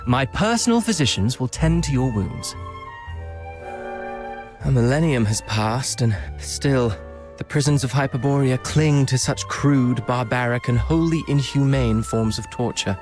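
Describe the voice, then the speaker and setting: A man's voice: mid-range voice, animated, unhurried, close to an everyday voice. Man playing a suave, eloquent prince. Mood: scornful. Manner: theatrical, refined formal diction. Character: suave aristocratic prince, eloquent, wry, caring toward friend. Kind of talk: video game voice acting. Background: background music.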